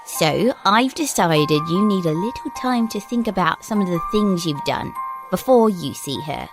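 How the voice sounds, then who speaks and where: Woman playing an uncanny, prim little girl, lively pitch. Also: a little slow, music in the background. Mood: calm, knowing. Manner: polite, formal, coolly commanding. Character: uncanny little girl, prim, shrewd, older than she seems. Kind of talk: audio drama acting.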